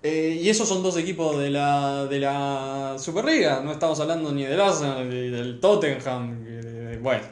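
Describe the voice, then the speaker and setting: A man's voice: medium pitch, moderate pace, hardly any pauses. A young man with an Argentine Rioplatense accent chatting casually and colloquially about sport, giving blunt opinions. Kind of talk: casual sports chat with friends. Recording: roomy, echoey room.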